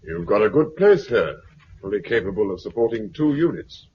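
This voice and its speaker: Menacing British official, 1950s radio drama: condescending, brisk, very varied intonation, dull hissy recording. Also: male voice, on the low side, sing-song. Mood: coolly authoritative. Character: self-appointed regional commander, smooth, officious, menacing man.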